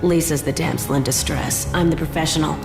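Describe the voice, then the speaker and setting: A woman's voice: sits low, fairly quick, gravelly. An actress playing a cool, dry-spoken female professional killer. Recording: echoey with faint music.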